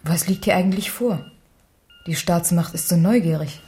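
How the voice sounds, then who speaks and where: Woman acting a senior doctor, lowish mellow voice, dry, probing, matter-of-fact, radio drama. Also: moderate pace, lightly acted. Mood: curious. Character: brisk, dry, inquisitive female senior hospital doctor.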